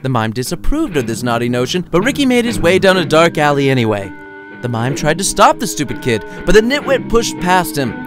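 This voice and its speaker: A man's voice: fairly high pitch, sing-song, brisk, over music. A man reading his own comedic short story in a wry, theatrical narrating style.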